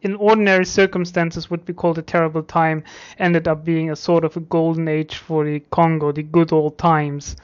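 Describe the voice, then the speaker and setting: A woman with a fairly low voice talks as an interviewer in a casual conversation. She is reflective and a little wry, feeling her way through sentences, on a dull lo-fi recording. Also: flat delivery, moderate pace.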